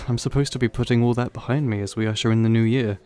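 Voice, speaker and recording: Guy acting as a shy rambly young man, old friend at a party, soft mellow voice, kinda self-deprecating, audio rp with faint music behind. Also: mid-range voice, steady tone, fast talker, clean tone, natural voice. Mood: sheepish, resolute. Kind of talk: audio roleplay, conversational acting addressed to listener.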